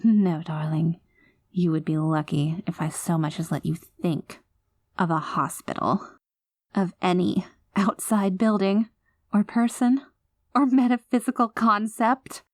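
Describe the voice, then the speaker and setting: A woman's voice: fairly low pitch, steady tone, measured pace, no room sound, clean studio sound. A woman playing an obsessive, possessive girlfriend in a scripted audio roleplay, theatrical and sugary with an edge of threat. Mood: cold ultimatum. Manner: saccharine pet names laced with threats, theatrical. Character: obsessive possessive yandere girlfriend, sweetly menacing, long reformed, unraveling. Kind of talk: scripted ASMR roleplay audio drama, voicemail monologue.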